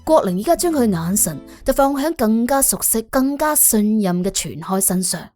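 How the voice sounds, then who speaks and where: A woman narrating a suspense audiobook in an expressive, colloquial storytelling style. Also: fairly high pitch, moderate pace. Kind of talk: scripted suspense storytelling in Cantonese. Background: music under the voice.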